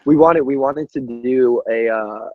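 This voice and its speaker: A man's voice: mid-range voice, a little slow, no echo. A young man, a musician guest, chatting casually in a rambling, filler-heavy way on a dull lo-fi recording. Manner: rambling, peppered with "like" and "you know". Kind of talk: casual chat.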